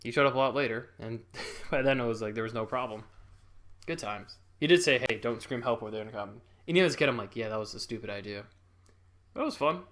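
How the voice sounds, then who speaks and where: A man's voice: medium pitch, quick pace, fairly bright tone. A young man casually telling a childhood story, rambling and interrupting himself with chuckles.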